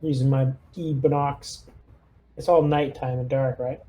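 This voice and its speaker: A man's voice: mid-range voice, flat delivery, measured pace, mellow, poor audio. A man playing a tabletop roleplaying game, giving orders in an easygoing, casual chat style.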